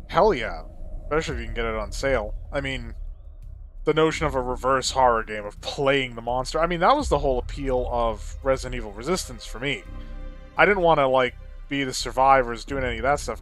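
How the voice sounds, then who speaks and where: A man's voice: mid-range voice, fairly bright tone. A man giving casual, opinionated gameplay commentary in a rambling way, with some swearing. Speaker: let's play video creator. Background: game soundtrack music behind the voice.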